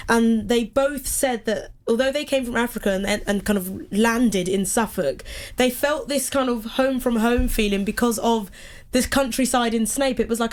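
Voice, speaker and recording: A woman presenting on local radio, chatty and laughing often. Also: fairly high pitch. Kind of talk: casual radio chat. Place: radio studio.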